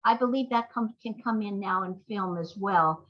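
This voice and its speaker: An older woman talking as an interview guest, telling stories conversationally in halting, reflective phrases. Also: medium pitch, muffled recording. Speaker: playwright and screenwriter guest.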